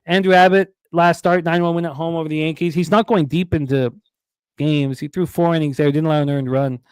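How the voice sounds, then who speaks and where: A man hosts a sports betting broadcast, his voice a little high and smooth. He runs through odds and stats in a steady patter with filler words. The sound is dry and close to the mic. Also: a little fast, no room sound. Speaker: sports betting show host. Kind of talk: sports betting radio talk.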